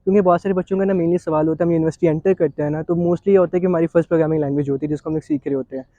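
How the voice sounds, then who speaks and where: A man's voice: a little high, fairly even intonation, racing, dark tone, no echo, muffled recording. A man with a Pakistani accent hosting an interview, asking casual questions with English words mixed in. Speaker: interview host.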